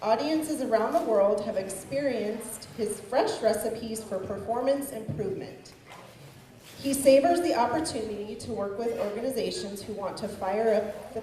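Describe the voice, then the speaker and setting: A woman giving a prepared introduction as an event host, reading aloud in a halting way. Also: a little high, dark tone, slight background noise. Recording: echoey room, far from the mic.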